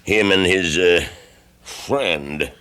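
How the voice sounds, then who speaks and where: A man acting as a calm, folksy family doctor in an old-time radio mystery, reassuring and a little hesitant. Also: sits low, very slow, smooth, heavily acted.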